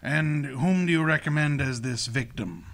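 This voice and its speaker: An actor playing a genial, cunning crime boss in a radio play, talking with pompous, elaborate politeness. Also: male voice, on the high side, normal speed, fairly bright tone. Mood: smoothly menacing.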